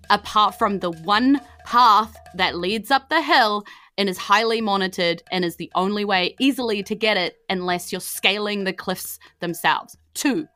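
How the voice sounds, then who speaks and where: A woman's voice: medium pitch, pushed and bright. A woman voicing a blunt, bossy male heist planner in a tabletop game, with a grave, dramatic delivery.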